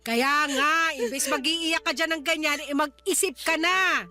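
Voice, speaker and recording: A woman playing a blunt, nagging, tactless elderly mother in a Filipino radio drama, scolding with a sharp tongue. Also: high-pitched, measured pace. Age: older.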